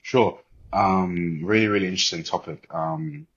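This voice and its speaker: A man in his twenties with a British accent, answering as a podcast guest in a measured, thoughtful and rather formal way, on muffled audio. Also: low-pitched, animated, creaky, fairly bright tone, husky. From born in Liberia, grew up in the UK. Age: 20s. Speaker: podcast guest, corporate banker. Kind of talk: reflective interview talk.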